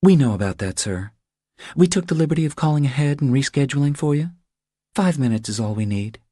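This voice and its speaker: A courteous woman agent in an audiobook, acted out by a man. The pitch is raised and lively, and the manner is official and businesslike while staying polite. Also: a little fast, dry recording, clean studio sound. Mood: reassuring, brisk. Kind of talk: audiobook character dialogue.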